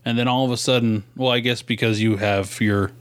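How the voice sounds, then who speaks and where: A man with a medium-pitched voice banters as a podcast co-host. His talk is slangy and joking, and the sound is dry with no room echo. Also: fairly even intonation, brisk, smooth. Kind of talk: casual banter.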